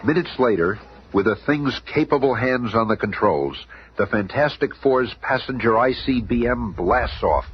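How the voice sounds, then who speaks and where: A male narrator in a 1970s radio drama, telling the story in a brisk, dramatic way. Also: mid-range voice, expressive. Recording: dull noisy 1970s radio recording.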